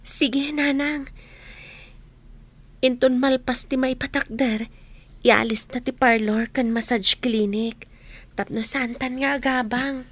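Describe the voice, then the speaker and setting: An actress playing an earnest, grateful grown daughter in a radio drama. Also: female voice, sits high, steady tone, slow pace, long pauses.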